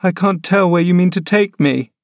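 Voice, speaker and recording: A man's voice: sits high, normal speed, no echo, studio quality, voice pushed up. A man reading aloud, voicing a fretful, sickly young boy who asks plaintive questions. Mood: petulant.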